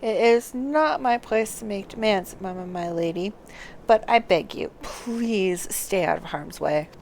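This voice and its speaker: Deferential game retainer read by a woman, anxious, formal, pleading, measured pace, light noise. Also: medium pitch, vocal fry, darkish tone. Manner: formal, pleading, reading quest text aloud. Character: deferential, worried servant pleading with a noble lady.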